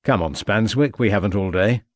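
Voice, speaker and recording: Guy doing a cool steady detective, lowish voice, slow and pointed. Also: no room sound, studio quality, close to an everyday voice. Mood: stern. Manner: measured, pointed questioning. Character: shrewd, calm male police inspector.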